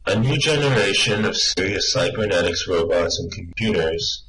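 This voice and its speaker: A man's voice: low voice, animated, moderate pace, a bit bright, slight background noise, low-quality recording. A man playing a cautious, inquisitive space traveller in a science fiction radio play. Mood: calm, dry.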